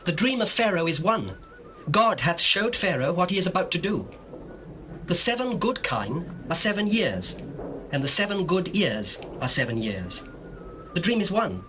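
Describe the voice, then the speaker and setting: Young hebrew prophet, british accent, bright ringing voice, very animated solemn bible drama, bit of tape hiss. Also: male voice, high voice, moderate pace, low-quality recording. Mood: solemn, urgent. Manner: declamatory, emphatic. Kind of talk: dramatized scripture acting in archaic English. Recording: faint hiss of old cassette.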